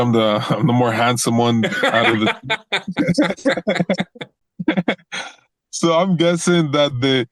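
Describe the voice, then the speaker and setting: A man's voice: mid-range voice, no room sound. Laid-back young man wrestler, dry sound. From Toronto, Canada. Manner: relaxed, repeats words and restarts often, laughs easily. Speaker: pro wrestler, guest. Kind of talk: casual interview chat.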